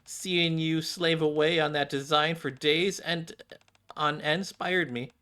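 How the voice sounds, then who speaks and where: Poised woman designer in a game, read by a man, bright voice, polite, measured and encouraging. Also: fairly high pitch, fairly even intonation. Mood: kindly, approving.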